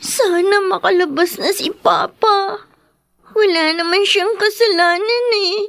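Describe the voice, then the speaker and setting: A woman's voice: very high-pitched, unhurried, fairly bright tone, no echo, studio quality, exaggerated voice. A woman playing an anxious, plaintive young schoolgirl in a Filipino radio drama, with a Filipino accent. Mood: tearful, worried. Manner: plaintive, childlike, respectful to elders. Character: anxious young schoolgirl missing her jailed father.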